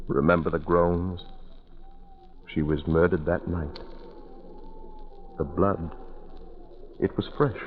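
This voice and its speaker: A man acting a shaken ship's passenger in a 1940s radio drama, speaking in a halting, dramatic way on a scratchy, tinny recording. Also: low-pitched, heavily acted. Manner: dramatic, halting, drawn-out delivery. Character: shaken male ship's passenger recalling a horrifying tragedy.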